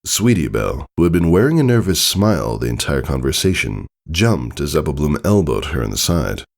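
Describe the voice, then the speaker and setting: A man's voice: a bass voice, big pitch swings, a little fast. A man narrating a story as a scripted, dramatic audiobook-style reading. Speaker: fan fiction narrator.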